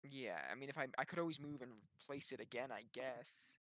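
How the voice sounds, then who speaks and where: A man's voice: mid-range voice, brisk, a bit bright, no echo. A man chatting casually as a player in a tabletop game, thinking aloud in a tentative way.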